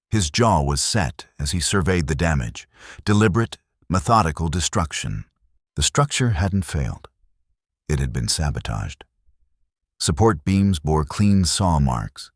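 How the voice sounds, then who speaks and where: A man narrating a western romance audiobook in a measured, dramatic way. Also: very deep voice, dry recording, studio quality. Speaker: storyteller.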